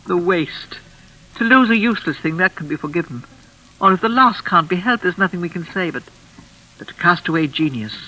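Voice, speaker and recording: Bright, sharp-voiced elderly lady. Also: fairly low pitch. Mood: bitter, intense. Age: older. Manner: theatrical, formal, intense. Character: elderly, genteel, grieving, ominous widowed lady of the house. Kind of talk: 1940s radio acting. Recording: vintage radio recording with frying crackle.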